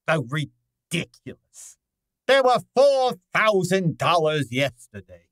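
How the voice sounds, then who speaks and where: An actor playing a pompous, cantankerous gourmet detective in a radio drama, with imperious, formal diction. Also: male voice, a little high, big pitch swings, slow, fairly bright tone, no echo, clean studio sound, heavily acted. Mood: irritated. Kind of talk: old-time radio drama acting.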